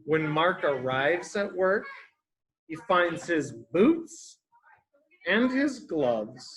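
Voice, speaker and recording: Guy teacher reading a kids book out loud, low-ish for a woman but really a man, takes it slow, stops to explain and ask stuff. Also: sing-song, low-quality recording. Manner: simple explanatory phrasing with questions to the children. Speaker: early education teacher reading aloud to children. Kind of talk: read-aloud picture book lesson with explanations for young children.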